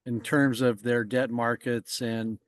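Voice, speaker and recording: A man's voice: mid-range voice, flat delivery, slow, no echo, clean studio sound. A man co-hosting an interview show who rambles and halts, repeating words as he restarts his sentences. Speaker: co-host. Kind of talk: casual interview chat.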